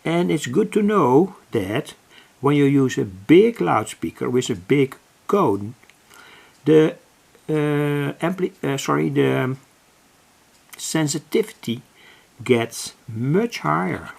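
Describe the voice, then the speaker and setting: Hobby electronics host, gravelly low-key voice, slow and halting. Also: male voice, sing-song, a little mellow. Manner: slow, deliberate, with many restarts and self-corrections. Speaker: electronics hobbyist explaining a circuit. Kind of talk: slow, halting explanation of a schematic. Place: home workbench.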